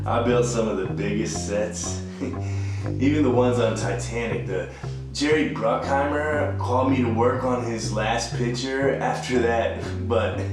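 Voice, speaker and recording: A man's voice: on the low side, natural voice. An actor playing a boastful, eccentric homeless man who rambles and hesitates in an audio drama. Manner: rambling, hesitant, with trailing thoughts. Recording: very echoey room with music underneath.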